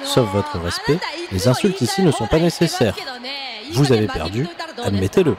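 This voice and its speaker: A man's voice: medium pitch, big pitch swings, normal speed, pitched below the performer's own voice, natural voice. A man dubbing two haughty female wrestlers who trade petty insults in a pompous, mock-formal way. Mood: mock-polite. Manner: mock-formal, pompous politeness turning to childish taunts. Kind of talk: comic voice-over dub of a wrestling clip. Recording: loud arena crowd behind.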